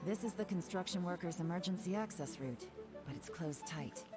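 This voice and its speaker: Actress as composed female spy leader; low, cool, commanding, over loud game score. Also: moderate pace, loud music behind the voice, close to an everyday voice. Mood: calm. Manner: calm, low, commanding and wry.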